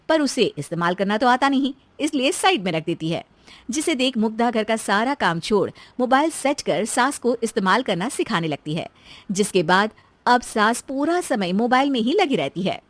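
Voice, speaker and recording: A woman narrates a cartoon story in a high voice with a very animated, swooping melody. The sound is dry and close. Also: normal speed, no room sound.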